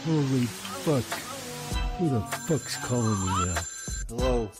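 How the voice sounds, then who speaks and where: A man playing a grumpy sleeper who is woken by a phone call and curses and shouts. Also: medium pitch, animated, slow pace, over music. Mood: angry groggy. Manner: cursing, shouting, irritable.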